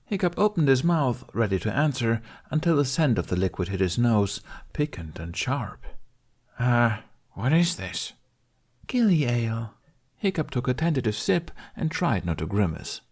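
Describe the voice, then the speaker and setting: A man's voice: medium pitch, very animated, normal speed, hardly any pauses, a little mellow. A man reading scripted fiction as an audiobook narrator.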